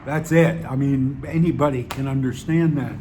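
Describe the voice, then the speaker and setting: A man's voice: mid-range voice, fairly even intonation, moderate pace, a little mellow, a little hiss or hum. A man with a Southern American accent, talking to his channel in a wry, digressive way, full of fillers and restarts. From Southern United States. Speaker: channel host. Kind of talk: rambling casual monologue.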